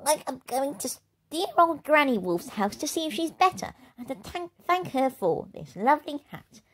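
A woman's voice: high-pitched, sing-song, moderate pace, a little hiss or hum, pitched above the performer's own voice. A woman voicing a polite, timid young male wolf cub in a storybook read-aloud, sweet, eager and prim. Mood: earnest, polite.

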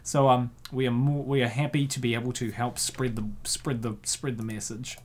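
A man's voice: medium pitch, flat delivery, moderate pace, slight background noise. A man hosting casual comic commentary, jokey and given to exaggerated reactions. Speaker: host.

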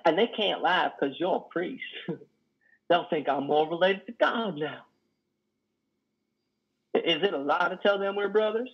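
A man acts out a lonely, wounded trucker in a stage drama, higher-voiced and husky. He talks in halting, folksy, simple sentences, weighed down by guilt, over a muffled video call. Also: full character voice. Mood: excited. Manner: halting, stammering, folksy ungrammatical speech. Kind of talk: dramatic play reading. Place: indoor room on a video call. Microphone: headset.

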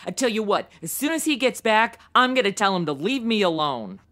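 An actress plays a disgruntled woman in a fifties-style radio drama. Her pitch is average but swings widely. She talks in a gossipy, colloquial, weary way. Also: sing-song, brisk, a bit bright. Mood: aggrieved. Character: disgruntled, gossipy 1950s woman being questioned. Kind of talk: comedic radio drama acting.

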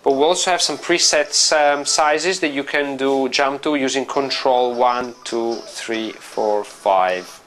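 A middle-aged man with an Italian accent, a native Italian speaker, walking through how to use a software application in an office, explaining in a relaxed way with frequent fillers and restarts. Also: medium pitch, a little slow. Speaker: company representative demonstrating software. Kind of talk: product walkthrough.

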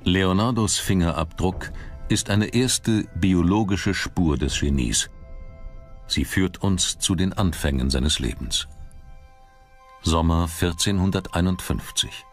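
Very deep-voiced male documentary narrator. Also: slow pace, soft background music.

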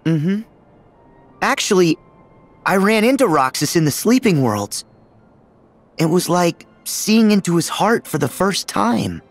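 Upbeat brave teen boy in a video game, sincere, boyish, very animated, music behind. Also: sits high, a little slow, pauses often, exaggerated voice.

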